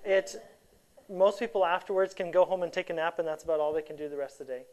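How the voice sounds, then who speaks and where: A woman with a somewhat low voice speaks in a steady, conversational way. She tells stories at an easy pace. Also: quick pace, poor audio. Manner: storytelling, animated when quoting. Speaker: missionary. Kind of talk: casual chat.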